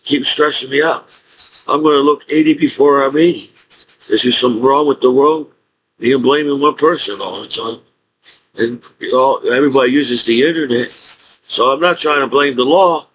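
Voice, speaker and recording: A man in his forties speaking in a rambling, halting monologue with frequent restarts and laughs. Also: mid-range voice, a bit bright, a little hiss or hum, rough recording. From United States. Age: 40s. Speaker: YouTuber.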